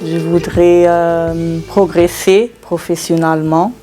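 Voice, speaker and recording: A woman's voice: fairly low pitch, flat delivery, slow, dark tone, faint music behind. Hesitant woman, French not her first language. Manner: hesitant, searching for words. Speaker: trainee employee. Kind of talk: interview testimony.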